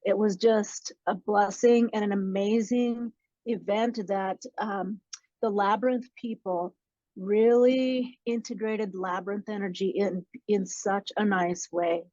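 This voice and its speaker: A woman's voice: mid-range voice, steady tone, slow, dark tone, dry recording. Enthusiastic woman on a dull phone line. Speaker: meditation call participant. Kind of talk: casual spoken update. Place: airport.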